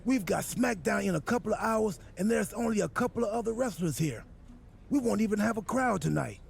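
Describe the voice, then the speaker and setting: An actor playing a goofy, self-important wrestler turned boss in a video game cutscene, with a gravelly, husky voice and an earnest, absurdly literal, rambling manner. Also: male voice, sits high, lively intonation, brisk, a little hiss or hum, heavily acted. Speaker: wrestler acting as general manager.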